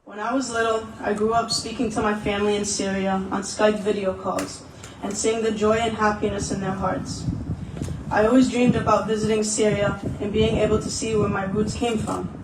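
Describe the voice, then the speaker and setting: Man, solemn vigil speech, earnest, high voice, roomy hall echo. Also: level tone, normal speed. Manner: earnest, solemn, repetitive phrasing. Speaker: vigil speaker. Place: gathering indoors, recorded from afar. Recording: echoey, distant, noisy event audio.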